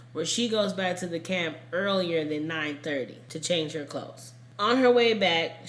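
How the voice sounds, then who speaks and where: Low-voiced woman podcaster in an echoey room. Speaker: true crime podcast host. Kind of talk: casual conversational storytelling.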